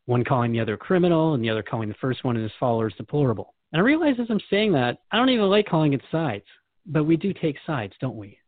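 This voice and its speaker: A man's voice: medium pitch, big pitch swings, fast, no room sound, narrow, tinny audio. A man playing a curious, earnest seeker questioning a spiritual guide in a conversational scripted dialogue. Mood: reflective. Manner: inquisitive, conversational.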